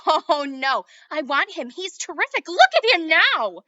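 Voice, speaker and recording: A woman plays a loud, grabby young boy, high bright voice, dry dull recording. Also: big pitch swings, measured pace, no echo, exaggerated voice. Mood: defiant. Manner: loud, yelping and insistent. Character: young boy, excitable and possessive.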